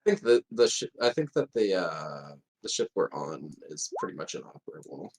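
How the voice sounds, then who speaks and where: A man's voice: low-pitched, very animated, normal speed, a little mellow, a little hiss or hum. A man playing a tabletop roleplaying game, talking through options in casual conversation.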